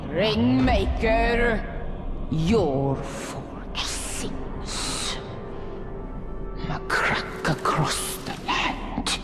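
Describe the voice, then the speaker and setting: Casting: ancient wrathful nature spirit, cryptic and incantatory, extremely slow delivery, game cutscene over music. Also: female voice, mid-range voice, lively intonation. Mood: ominous, commanding. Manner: incantatory, drawn-out, often whispered. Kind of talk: slow solemn video game cutscene acting. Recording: loud music behind.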